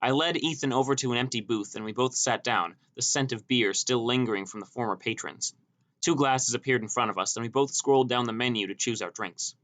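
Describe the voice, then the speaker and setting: A man's voice: mid-range voice, a little fast, fairly bright tone. A man reading a scripted first-person story as an audiobook narrator.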